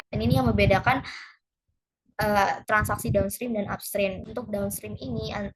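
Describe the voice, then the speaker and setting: Young woman, mid-pitched and slightly husky, for a hesitant, informal tutorial read. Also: no room sound, poor audio. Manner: hesitant, conversational, mixes in English terms. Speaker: presenter explaining an accounting lesson.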